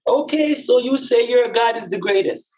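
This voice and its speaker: A man's voice: very high-pitched, moderate pace, darkish tone, rough recording. A man with a Jamaican accent giving a reflective spiritual talk in an emphatic, preaching manner, with repeated phrases and rhetorical questions.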